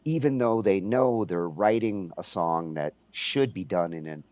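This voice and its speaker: A man co-hosting a casual chat about songwriting, talking in a halting way with frequent restarts. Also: sits low, darkish tone, dry recording, dull audio. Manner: slow, halting, restarts often. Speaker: podcast co-host.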